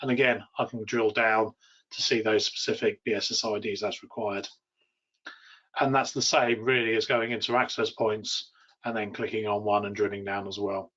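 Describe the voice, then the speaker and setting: A man's voice: on the low side, flat delivery, normal speed, a bit bright. The recording is a little dull and lo-fi. A man talks as a technical trainer giving a live product demo. His explanations are informal and loosely phrased, with a hesitant way of getting to each point.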